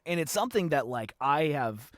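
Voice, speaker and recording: A man's voice: fairly high pitch, big pitch swings, normal speed, no echo, clean studio sound. A man in his thirties hosting a podcast, chatting casually, laughing often and swearing freely. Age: 30s. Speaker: podcast host. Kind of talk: casual chat.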